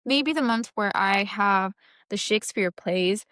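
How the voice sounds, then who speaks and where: A woman's voice: medium pitch, a little slow, fairly bright tone, clear, resonant tone, no room sound. A young woman, a Creole speaker, chatting casually about her plans and thinking aloud with a light, self-deprecating touch. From Mauritius. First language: Mauritian Creole. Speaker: book vlogger. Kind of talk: casual reading-plans chat.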